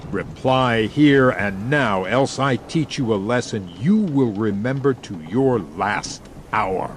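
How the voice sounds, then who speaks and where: A man voicing a brutal, commanding pirate chief in a dramatic audiobook, threatening in drawn-out phrases. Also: medium pitch, a little hiss or hum, voice pushed down, lightly acted. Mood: menacing. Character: brutal menacing pirate chief bullying a captive. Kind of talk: dramatic audiobook character dialogue.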